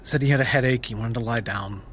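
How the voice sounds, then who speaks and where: An actor playing a wistful, candid former youth pastor in a naturalistic audio drama, telling his story in a reflective, halting way. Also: mid-range voice, a bit bright, slight background noise, natural voice. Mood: somber, grieving.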